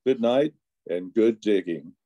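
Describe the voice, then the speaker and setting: A man's voice: lowish voice, animated, a little slow, warm tone, muffled recording. A man playing the narrator of an old-time private eye radio serial, reading scripted narration. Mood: composed. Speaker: announcer and narrator.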